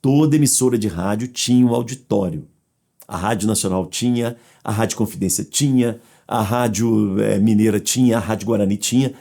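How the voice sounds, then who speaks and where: Mellow Brazilian man explaining things. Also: on the low side, normal speed, gravelly. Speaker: interviewee on radio history. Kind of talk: explanatory interview talk.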